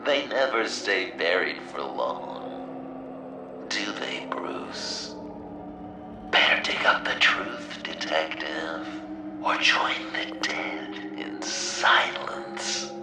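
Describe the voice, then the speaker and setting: An actor playing a sadistic, theatrical game villain who mocks grandly, moving between whispers and shouts. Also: male voice, low voice, sing-song, very slow, echoey room, far from the mic, over music, heavily acted. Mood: sinister, morbid. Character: theatrical, sadistic male villain staging deadly games. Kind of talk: video game villain acting.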